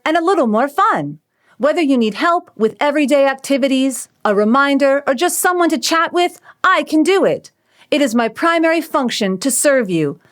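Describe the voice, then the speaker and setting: A cheerful female robot helper played by a woman with a high, very animated voice. The delivery is scripted, carefully enunciated and eager to help. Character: friendly, cheerful female robot home assistant. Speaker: voice actor. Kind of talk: scripted robot assistant demo.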